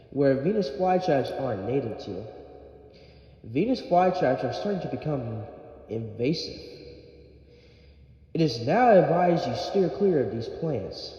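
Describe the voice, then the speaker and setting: Very echoey, mellow male news presenter, acted. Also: a little high. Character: composed presenter giving a public warning. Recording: muffled, very echoey hall sound.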